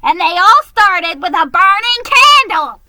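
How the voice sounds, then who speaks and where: Woman doing a stern firefighter mouse, raised pitch, forceful, scolding, storybook character. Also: very animated, measured pace, no room sound, heavily acted. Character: scowling, scolding fire mouse on duty.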